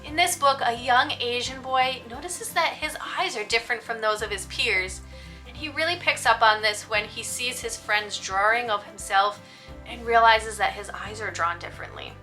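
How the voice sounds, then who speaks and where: A woman presents book recommendations in an upbeat, scripted style. Her voice sits fairly high and is very bright, with presenter-like stress on key words. Music plays behind her. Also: moderate pace. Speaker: book reviewer and host for teachers. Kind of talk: upbeat scripted book review narration to viewers.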